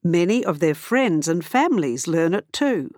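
A woman narrating an article and reading its interview questions in a plain, steady way. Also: lowish voice, normal speed, no echo, studio quality. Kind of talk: scripted read-aloud.

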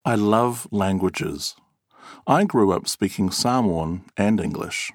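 A man reading an interviewee's answers aloud in a clear, measured and expressive way. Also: lowish voice, dry recording, studio quality. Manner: clear, measured and expressive, acting out example words. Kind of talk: scripted read-aloud.

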